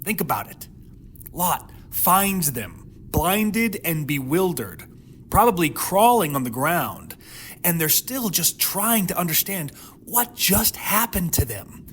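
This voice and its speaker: A man delivering a dramatic Bible teaching monologue, emphatic, with rhetorical questions and pauses for effect, over noticeable background noise. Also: high-pitched, very animated, fairly bright tone. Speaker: host of a Bible teaching series.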